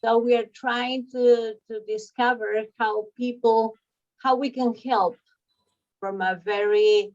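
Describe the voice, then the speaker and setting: A woman's voice: on the high side, steady tone, slow pace, a little mellow. A woman from Argentina, a linguist, introducing her work carefully and searching for words. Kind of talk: video call introduction. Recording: dull, lo-fi audio.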